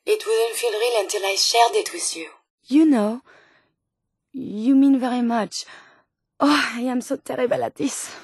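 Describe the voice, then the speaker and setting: A woman's voice: a little high, unhurried, slightly rough, no echo, clean studio sound. An actress voicing a polite, curious female robot scientist from a video game, with a French accent and formal, precise, earnest phrasing. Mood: flustered, emotional. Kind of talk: video game companion dialogue.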